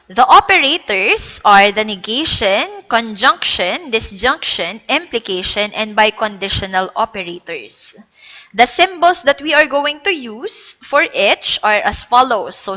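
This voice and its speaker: A Filipino woman lecturing, explaining patiently as she slips between English and Tagalog. Her voice is rather high and bright. The recording carries a long reverb. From Philippines. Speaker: teacher. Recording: echoey reverb.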